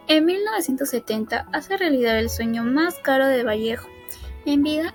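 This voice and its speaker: A woman narrates a scripted biography in a student radio drama. Her voice is notably high and her reading stumbles here and there. Music plays clearly behind her. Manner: halting read-aloud delivery with stumbles.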